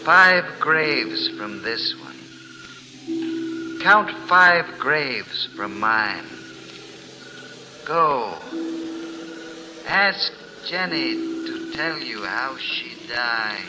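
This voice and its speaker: An actor playing the mocking, ominous ghost of a dead railway engineer in a 1940s-style radio mystery. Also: male voice, medium pitch, lively intonation, drawn-out words, long pauses. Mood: mocking, commanding. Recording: reverberant, noisy old radio recording.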